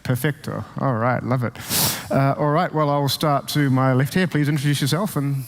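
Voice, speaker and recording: A man with a New Zealand accent hosts a conference panel, quipping and poking fun at himself as he keeps things moving. His mid-pitched voice rings out with a long reverb through a big auditorium. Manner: jokey, self-deprecating, brisk. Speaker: panel moderator.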